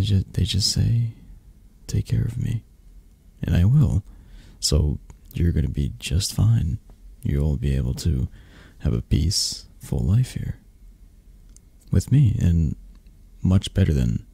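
Casting: tender, possessive mafia lover , acted by a man for ASMR roleplay; dark mellow tone, lively intonation, breathy gravelly murmur. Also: low-pitched, no echo, heavily acted. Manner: soft, hesitant, murmuring, breathy. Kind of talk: intimate boyfriend-roleplay ASMR.

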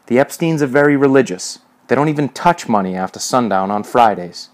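A man's voice: mid-range voice, lively intonation, a little fast, lightly acted. A man voicing an earnest, nervous young man in a story, defensive and plain-spoken.

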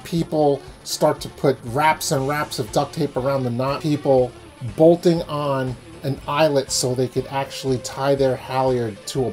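A man's voice: mid-range voice, a little mellow. A man explaining things as a sailing channel host in a how-to vlog. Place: small indoor room.

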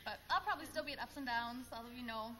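A woman's voice: on the high side, very fast, crowd noise. A woman in her late teens in giggly, casual chat to camera as a graduating student. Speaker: graduating high school student. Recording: noisy old VHS camcorder audio.

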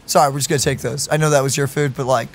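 A woman's voice: very deep voice, steady tone, racing, soft background music. A woman co-hosting a let's play, chatting casually as she reacts to the game. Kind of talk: casual gameplay reaction chat. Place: indoor room, game audio behind.

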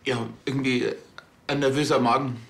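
A man's voice: medium pitch, flat delivery, a little fast, soft background music, close to an everyday voice. An actor playing a timid, reluctant junior policeman with a hesitant manner.

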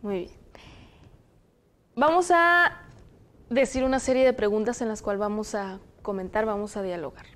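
A young woman from Zacatecas with a Mexican Spanish accent, hosting a studio discussion on a lapel mic in a TV studio, speaking in a measured, explanatory way. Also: mid-range voice. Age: young adult. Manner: measured, explanatory, reads passages aloud. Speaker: host. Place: television studio, indoors.

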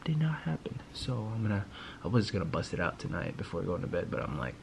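A man's voice: low voice, huge pitch swings, fast talker, gravelly, noisy background. A young man casually recapping his day for his vlog in a laid-back, rambling, slangy way, filmed on a close phone camera in a small closet. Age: young adult. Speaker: vlogger. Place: small walk-in closet indoors. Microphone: phone camera close to face.

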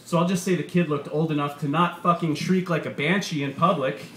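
A brash, foul-mouthed stand-up comedian, put on by a male narrator, working a club crowd in a raised, punchy voice. He sounds incredulous and mocking, his delivery pitched clearly above the reader's own. The room is roomy, with a faint hiss underneath. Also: a little fast, close to an everyday voice. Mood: indignant. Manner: loud, animated, incredulous, swearing. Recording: roomy, faint background noise.

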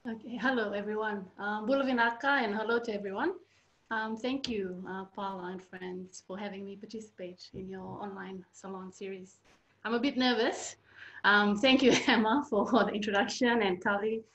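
A woman's voice: medium pitch, fairly even intonation, fairly bright tone, highs cut off. A woman in her fifties with a Fijian accent, sharing a personal story hesitantly in an informal online talk. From Fiji. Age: 50s. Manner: hesitant, halting, frequent pauses. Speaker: guest speaker, architecture researcher. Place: small indoor room at home. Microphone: computer mic on video call. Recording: roomy, echoey video-call sound.

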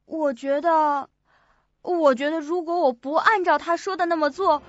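Actress as shrill, terrified, tearful young woman. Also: fairly even intonation, a little hiss or hum, lightly acted. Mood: frightened, pleading. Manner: halting, pleading, sobbing. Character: terrified, tearful young female employee confessing. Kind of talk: suspense radio drama acting. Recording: dull audio under loud music.

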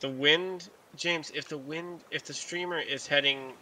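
A man's voice: fairly high pitch, steady tone, unhurried, bright, forceful tone, slight background noise, rough recording. A man chatting and joking with friends while playing a survival game online, laughing often. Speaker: gamer. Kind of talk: casual multiplayer game chat.

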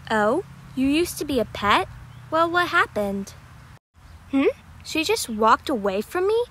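A woman as a high-voiced, easygoing fennec fox. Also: very animated, unhurried, fairly bright tone, slight background noise, exaggerated voice. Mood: friendly, puzzled. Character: friendly, confident fennec fox. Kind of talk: amateur animated-series audition.

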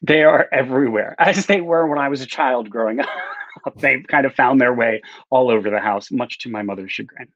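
A man's voice: mid-range voice, moderate pace, fairly bright tone, dry recording. A middle-aged man, a novelist telling personal stories casually on a video call, often restarting his sentences as he goes. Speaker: novelist, interview guest. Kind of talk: casual conversational storytelling. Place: home room with bookshelves, indoors. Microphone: video call, no visible microphone but earbuds. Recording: slightly dull webcam call audio.

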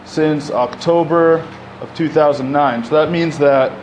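A man's voice: on the high side, fairly even intonation, measured pace, darkish tone, a little hiss or hum, low-quality recording. Casual young man speaking at a podium. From Egypt. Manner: conversational, many fillers, gestures while speaking. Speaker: panel speaker. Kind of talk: public talk at a community event. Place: community center hall. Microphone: podium mic.

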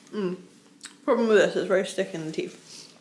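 A young woman vlogging about her day and workouts, chatting upbeat to a close phone camera, then calmly explaining each exercise in a voice-over. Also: mid-range voice, normal speed, slight background noise. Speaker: fitness vlogger. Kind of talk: casual vlog chat and workout voice-over.

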